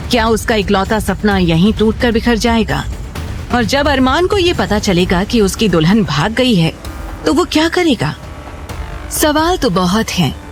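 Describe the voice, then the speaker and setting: A woman narrating a scripted, dramatic story as the storyteller of an audio series. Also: medium pitch. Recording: music bed under the voice.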